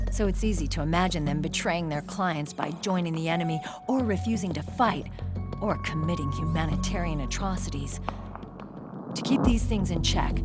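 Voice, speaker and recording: A low-voiced young woman , played by an actress, as a military commander in a game cutscene. She is composed and articulate, with serious, measured delivery and a guarded pride. Music plays prominently behind her. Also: lightly acted. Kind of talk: dramatic video game cutscene acting, expository.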